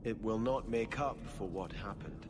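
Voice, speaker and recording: An actor playing a devout, conflicted young exiled prince in a video game, with a formal, confessional manner. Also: male voice, on the low side, moderate pace, background noise, natural voice. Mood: troubled. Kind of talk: video game cutscene acting.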